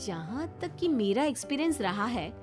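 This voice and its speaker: A woman voicing a young daughter-in-law in a family story, eager and expressive. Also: fairly high pitch, normal speed, over music. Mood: scheming, excited. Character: young scheming but caring daughter-in-law. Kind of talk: animated family story acting.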